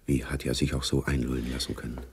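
A man's voice: rumbling low, level tone, fast talker, vocal fry, a little hiss or hum. A man narrating a spy novel in a German radio drama, as a sober literary storyteller. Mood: sober, reflective.

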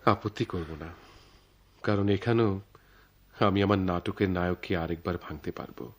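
Detached, fatalistic playwright for radio drama. Low register, deliberate theatrical delivery. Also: male voice. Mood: detached, philosophical. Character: brooding male playwright, philosophical, detached, fatalistic. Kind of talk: Bengali radio drama acting.

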